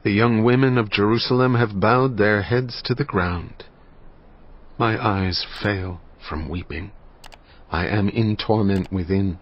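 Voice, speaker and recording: Solemn low-voiced man narrating scripture. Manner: solemn, measured, grave. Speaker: Bible narrator. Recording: slightly muffled, faint music behind.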